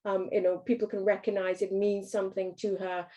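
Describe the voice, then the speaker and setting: A woman's voice: mid-range voice, level tone, fairly quick, warm tone. A woman from Trinidad and Tobago, giving a business workshop talk, hesitant with frequent ums and restarts.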